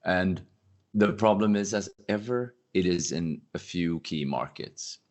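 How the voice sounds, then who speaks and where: Brief: male podcast guest, low deep voice, loose animated delivery, lots of self-corrections. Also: unhurried. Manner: animated, rambling, frequent restarts. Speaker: industry analyst guest. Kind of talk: casual podcast conversation.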